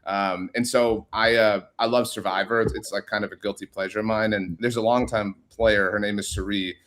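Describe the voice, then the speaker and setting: A man's voice: fairly low pitch, fairly even intonation, fairly quick, a bit bright. A man speaking as a podcast guest, thoughtful and encouraging, with many "like" fillers. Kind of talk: casual podcast chat.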